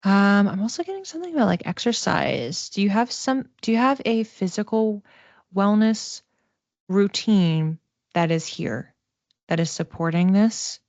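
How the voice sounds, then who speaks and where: A woman giving a casual live psychic reading, speaking conversationally and tentatively, thinking aloud with pauses as she explains. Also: mid-range voice, expressive, darkish tone, no room sound, dull audio.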